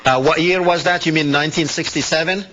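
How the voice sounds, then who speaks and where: A man's voice: fairly high pitch, a little fast, bright, forceful tone, faint music behind. A man hosting a live call-in discussion, explaining points and challenging with questions. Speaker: host of a call-in talk show. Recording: slightly muffled broadcast audio.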